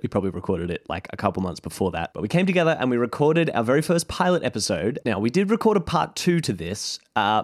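A man's voice: mid-range voice, dry recording, studio quality. A man hosting a podcast, speaking to listeners in an informal, rambling introduction and often correcting himself. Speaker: podcast host introducing an archive episode. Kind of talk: casual spoken introduction to listeners.

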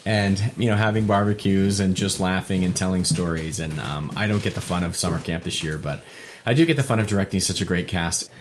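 Male theatre director as interview guest, low-pitched, chatty and jokey, halting delivery, some noise behind him. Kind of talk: casual interview chat. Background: puppy moving about at home.

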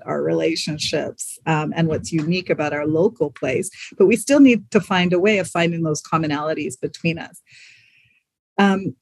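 A woman's voice: fairly low pitch, slightly rough, dry recording. Thoughtful woman, dry close sound. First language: English. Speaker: museum professional, interview guest. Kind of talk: reflective conversation.

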